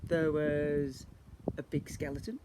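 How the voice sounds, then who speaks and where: A man reading a storybook to young children, theatrical, with deliberate pauses and repetition. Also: fairly high pitch, level tone, background noise.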